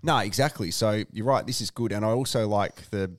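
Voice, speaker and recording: A middle-aged Australian man with a booming voice, chatting as a podcast guest in an animated, jokey way. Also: a little fast, no echo, clean studio sound. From Australia (Tasmania). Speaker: guest, sports announcer. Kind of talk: casual podcast chat. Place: podcast studio.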